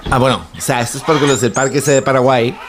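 A man's voice: medium pitch, steady tone, fast talker, natural voice. Brief: comedian putting on a muddled young influencer guy, Spanish accent, slangy, noisy studio line. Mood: airy, rambling. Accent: Spanish from Spain. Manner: slangy, mixes in English words, fumbles words. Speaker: comedian in character.

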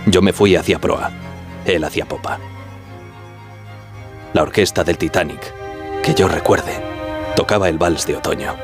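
A man playing a subdued Titanic wireless operator giving halting, deferential testimony in a radio drama. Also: low voice, music in the background. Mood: somber, reflective. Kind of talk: dramatized testimony over music.

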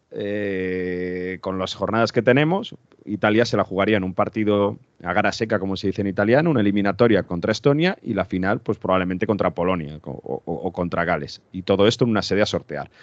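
A man's voice: on the low side, dry recording. Male football analyst, slightly dull dry sound. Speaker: sports show co-host, football analyst.

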